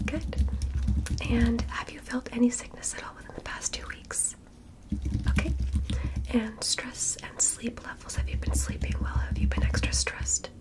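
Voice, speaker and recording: A woman in a soft, high, airy whisper, playing a caring nurse in an ASMR exam. She keeps her voice hushed and asks gently before each step, calling the listener darling. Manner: gentle, reassuring, asks permission often, calls listener darling. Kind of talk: whispered ASMR medical roleplay.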